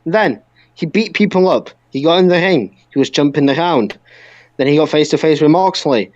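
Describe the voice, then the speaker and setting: A man with a slightly high voice chats as a podcast co-host. He is emphatic and opinionated, prone to ranting. The sound is dry and a little muffled. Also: normal speed, no room sound. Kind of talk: opinionated wrestling podcast chat.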